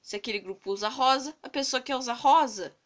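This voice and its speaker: A young Brazilian woman with a cold, hoarse and stuffy-nosed, venting earnestly into her phone camera in a rambling casual vlog. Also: high voice, a little fast. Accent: Brazilian Portuguese. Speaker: vlogger. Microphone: phone camera held close to the face.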